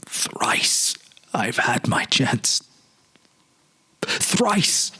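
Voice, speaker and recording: A man playing a weary, haunted politician of forty in a storybook reading, confessing his regrets in a halting, sorrowful, dramatic way. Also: mid-range voice, very animated, sharp tone, husky, voice pushed up, full character voice. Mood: anguished regret. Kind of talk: dramatic literary audiobook reading.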